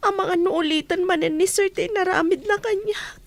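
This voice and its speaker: Very high, tearful young housemaid. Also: female voice, moderate pace. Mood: hurt, tearful. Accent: Ilocano. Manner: tearful, plaintive. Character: distressed young pregnant housemaid, wronged and pleading. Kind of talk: Ilocano radio drama acting.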